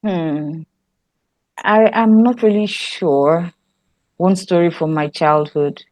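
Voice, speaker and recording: A Nigerian woman with a lowish voice, talking through ideas in a relaxed interview. She laughs easily and trails off and restarts her sentences. The sound is close and dry, with no room echo. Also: slow. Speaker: guest scriptwriter. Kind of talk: casual interview chat.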